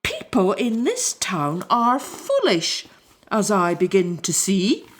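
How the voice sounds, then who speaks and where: A woman voicing a polite, friendly, puzzled male lion in a children's storybook, with a courteous, measured way of talking. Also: medium pitch, huge pitch swings. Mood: puzzled, musing.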